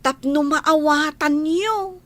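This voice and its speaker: A woman's voice: sits high, fairly bright tone, no room sound. A woman playing a cheeky young housemaid in an audio drama, teasing and talking back with an Ilocano Filipino accent. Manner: playful, drawn-out, talking back.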